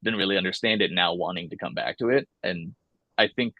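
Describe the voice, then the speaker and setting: A man's voice: low-pitched, brisk, no room sound, highs cut off. A young man from California, talking casually and thoughtfully in an oral history interview, with many fillers and restarts.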